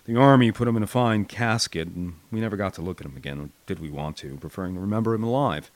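An American man with a fairly low voice narrates a wartime memoir. He reads from a script like a storyteller, expressive and dramatic. The recording is dry, with very little room sound. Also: fast talker, dry recording. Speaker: audiobook narrator. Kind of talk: scripted first-person war memoir narration.

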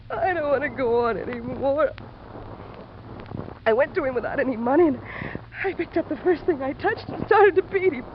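A high-pitched woman, anguished and pleading, in vintage radio melodrama style. Her delivery is urgent and overwrought. The aged recording is muffled, with faint noise. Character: desperate grown daughter, anguished and pleading, confessing. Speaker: actress in a radio drama. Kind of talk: 1940s-50s American radio acting, melodramatic.

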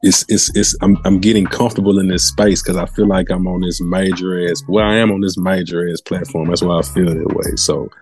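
Male guest, deep voice, slangy, effusive, repeats himself, faint music in back. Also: steady tone, darkish tone. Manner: halting, repetitive, effusive, slangy. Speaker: podcast guest. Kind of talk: casual podcast chat.

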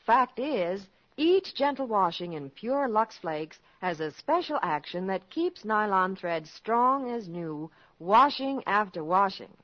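A woman's voice: medium pitch, very animated, slow pace, hardly any pauses, lo-fi sound. A woman reading a scripted 1950s radio commercial on a vintage recording. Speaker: commercial spokeswoman. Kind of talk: 1950s radio advertising read.

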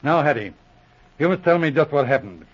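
Through a dull vintage radio recording comes a steady police sergeant, his voice a little high for a man. He is businesslike and questions firmly but patiently in the manner of a 1940s radio drama. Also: a little fast.

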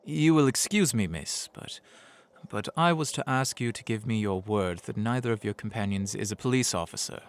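A man playing a brisk, wary coachman with a formal, cautious manner in an audio drama. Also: lowish voice, smooth, natural voice.

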